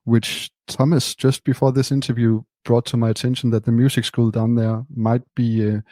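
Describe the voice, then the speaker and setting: Relaxed man, mellow voice. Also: mid-range voice, dry recording. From Denmark. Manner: relaxed, unhurried, many fillers. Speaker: band member, guest. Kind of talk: casual interview answers.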